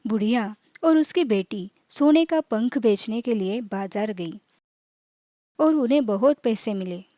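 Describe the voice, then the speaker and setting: A woman narrating a scripted children's moral story as a storyteller, in measured, clearly phrased reading. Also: a little high, lively intonation, dark tone, dry recording, studio quality.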